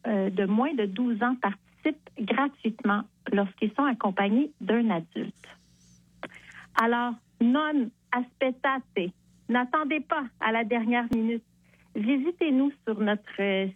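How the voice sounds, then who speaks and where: A woman's voice: on the high side, no echo. A woman, guest on a radio show, cheerfully promoting a charity walk over the phone. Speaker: guest, charity event co-chair. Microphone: phone line. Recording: tinny phone line.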